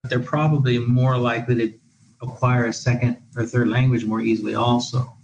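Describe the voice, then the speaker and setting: A man's voice: mid-range voice, level tone, a little fast, vocal fry, darkish tone, dull audio, slight background noise. A man who is a guest expert on an education podcast, talking in long, discursive answers with many restarts. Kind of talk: podcast interview. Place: living in Portugal.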